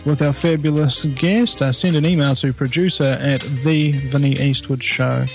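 A man's voice: mid-range voice, steady tone, normal speed, creaky, soft background music. A man hosting a talk radio show, rambling casually with many fillers. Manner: rambling, filler-heavy, self-deprecating.

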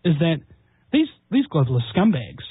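A man's voice: a little high, sing-song, normal speed, husky, no room sound. A man from New Zealand, a radio host appearing as a guest, talking in an impassioned and rousing way on talk radio. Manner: combative, rousing, rhetorical. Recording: tinny phone line, dry.

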